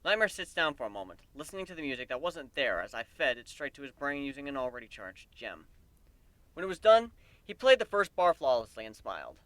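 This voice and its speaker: Male amateur storyteller, expressive and lively, bright tone, dry sound. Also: mid-range voice, a little fast, dry recording. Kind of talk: dramatic reading of fan fiction.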